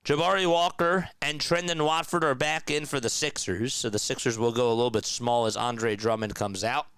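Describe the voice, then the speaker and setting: Raspy-throated male basketball play-by-play caller. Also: medium pitch, fairly even intonation, moderate pace, fairly bright tone, dry recording. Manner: conversational, reads and answers chat comments. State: raspy and nasal from a sore throat. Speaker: play-by-play commentator. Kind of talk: live basketball play-by-play commentary.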